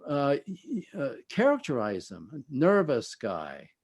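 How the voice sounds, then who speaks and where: A man speaking as an expert guest in an interview, explaining in a halting, conversational way and often trailing off at the ends of phrases. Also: mid-range voice, huge pitch swings, a little mellow.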